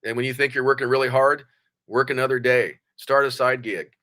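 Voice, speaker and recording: A man's voice: mid-range voice, fairly even intonation, brisk, bright, forceful tone. An older man as a podcast guest, speaking bluntly and plainly, giving motivational advice in conversation. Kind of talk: conversational interview.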